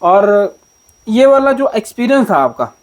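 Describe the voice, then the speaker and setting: A man with an Uttarakhand accent hosts a casual interview and heaps on praise, his talk loose and full of fillers. His voice is high for a man. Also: big pitch swings, a little slow. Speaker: vlogger, interviewer.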